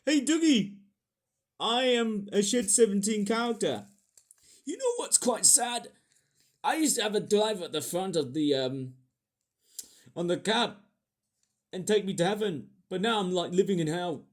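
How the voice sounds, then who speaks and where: A man's voice: sits high, sing-song, unhurried, long pauses, voice pushed up. A man voicing a glum toy steam engine in a put-on Scottish voice.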